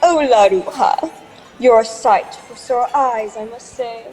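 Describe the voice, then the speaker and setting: Gushing, bossy society wife played by a woman, high and slow, affected, radio drama with music behind. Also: a little mellow, some chatter behind. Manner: affected, effusive. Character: gushing, bossy, social-climbing married society lady. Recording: long hall reverb, music underneath.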